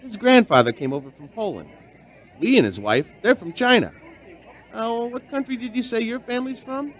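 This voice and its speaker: An actor in a radio drama playing a friendly, curious barkeep turned amateur sleuth, with a folksy, hesitant, probing way of talking. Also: male voice, very high-pitched, expressive, moderate pace, some chatter behind. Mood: affable, nervous. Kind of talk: radio drama acting and narration.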